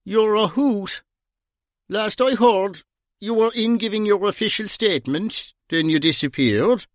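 A man doing Bunny, a loud, blunt bruiser. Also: high voice, a little slow, dry recording, studio quality, voice pushed up. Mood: scolding. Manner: loud, sharp, cutting. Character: blunt, forceful Dublin man in his prime.

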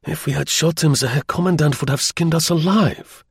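A man voicing a brisk, fervent young German army lieutenant in an audiobook, clipped and emphatic. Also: fairly high pitch, expressive, husky, no echo, studio quality, voice pushed up, exaggerated voice. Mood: astonished.

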